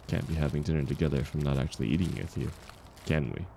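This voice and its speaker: A man acting a kind, very low-voiced gentle stranger. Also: steady tone, vocal fry, a little hiss or hum. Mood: caring, encouraging. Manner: soft-spoken, reassuring, unhurried. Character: kind, gentle man, once homeless, sharing dinner with a stranger. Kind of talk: intimate audio roleplay acting, speaking to the listener.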